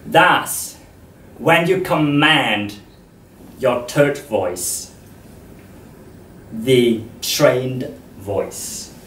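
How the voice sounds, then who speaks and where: Slow man speaking in a roomy hall. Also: mid-range voice, animated, a bit bright, recorded from a distance, a little hiss or hum. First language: Vietnamese. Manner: slow and deliberate, with frequent pauses. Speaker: speaker giving a talk. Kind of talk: public talk to an audience.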